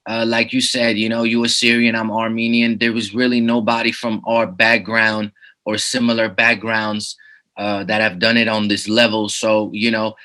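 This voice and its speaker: A man's voice: fairly low pitch, completely flat delivery, normal speed, sharp tone. A man in his thirties who grew up in the Netherlands, a rapper talking as an interview guest in a laid-back way, full of "you know what I'm saying" fillers. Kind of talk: casual interview.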